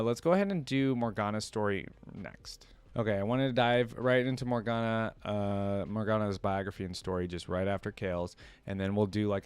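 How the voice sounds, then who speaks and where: A man's voice: fairly low pitch, clear, resonant tone, no echo. A man hosting a reaction video, reading stories aloud and adding casual commentary.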